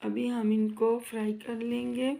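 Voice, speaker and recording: Woman with a sore throat, slow and flat. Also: on the high side, gravelly, rough recording. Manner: chatty, addresses viewers as guys, asks for subscribes. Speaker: cooking channel host. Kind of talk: casual cooking vlog narration. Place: home kitchen.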